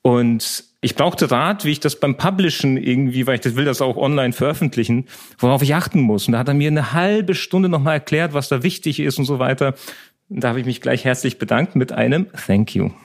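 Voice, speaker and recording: Man, native Polish speaker, gravelly voice, relaxed chatty storytelling, interview guest. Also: medium pitch, brisk. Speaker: lawyer and app founder, guest. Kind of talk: interview answers.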